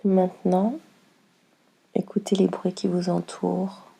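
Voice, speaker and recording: Female meditation guide: slow, soothing, mellow and softly breathy, lowish pitch, long pauses between instructions. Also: little pitch movement, rough recording. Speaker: yoga nidra guide.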